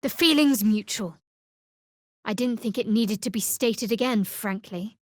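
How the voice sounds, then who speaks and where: Lady voicing a secretive dark cleric girl from a video game, dry and guarded, super close clean sound. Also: fairly high pitch, unhurried, no echo, close to an everyday voice. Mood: dry, curt. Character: guarded young female cleric of a dark goddess, wry, secretive.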